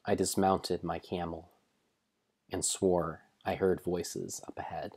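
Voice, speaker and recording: A man reading mock-dramatic storybook narration of a game, in a theatrical, solemn way with deliberate pauses. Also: low voice, flat delivery, darkish tone, slightly rough. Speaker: live streamer narrating a game.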